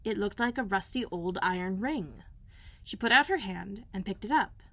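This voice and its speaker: A woman librarian reading a children's book aloud in a gentle, clear storytelling manner. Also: medium pitch, animated, moderate pace. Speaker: school librarian.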